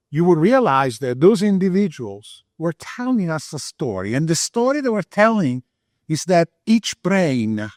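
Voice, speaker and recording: A middle-aged man from Italy with an Italian accent, speaking as a researcher on a panel, earnest and pausing often to find his words. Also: a little high, darkish tone, dry recording, clean studio sound. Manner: earnest, searching for words with many uh fillers. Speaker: panelist, brain health researcher. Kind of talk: panel discussion remarks.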